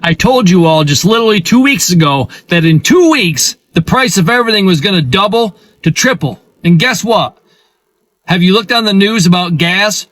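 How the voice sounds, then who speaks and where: A man's voice: sits high, expressive, normal speed, a bit bright, no room sound. A man delivering an insistent, casual rant straight to his followers, punctuated with rhetorical questions. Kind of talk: direct-to-camera rant.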